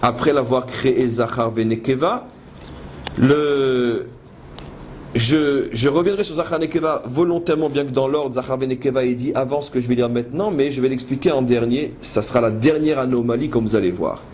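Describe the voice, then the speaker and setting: A man's voice: mid-range voice, moderate pace, a little mellow. A man giving a religious lecture as a rabbi, teaching in an emphatic, didactic way with rhetorical questions. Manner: switches between French and quoted Hebrew verses. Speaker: rabbi teaching a Torah class. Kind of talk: religious lecture with commentary on scripture. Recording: noisy live lecture recording.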